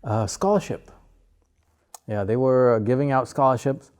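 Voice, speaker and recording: A man in his fifties from Malaysia, an artist talking about his life in an interview, unhurried and full of pauses and fillers. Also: mid-range voice, darkish tone. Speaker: artist guest. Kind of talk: relaxed interview conversation.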